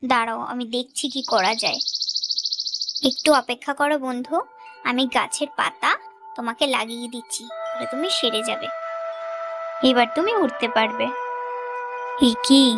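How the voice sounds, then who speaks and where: A high-voiced young village girl, acted by a woman, kind and humble, for a fairy-tale cartoon. She speaks earnestly in simple storybook fashion, with faint music playing underneath. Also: normal speed, long pauses, natural voice. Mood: concerned.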